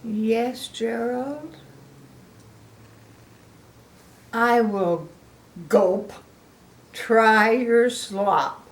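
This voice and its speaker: A woman puts on a nervous, good-hearted boy elephant from a storybook. Words come out very slowly and haltingly, each one leaned on with reluctant emphasis. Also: fairly high pitch, reverberant, pitched above the performer's own voice. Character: anxious, reluctant, kindly male elephant friend. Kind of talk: animated children's picture-book read-aloud.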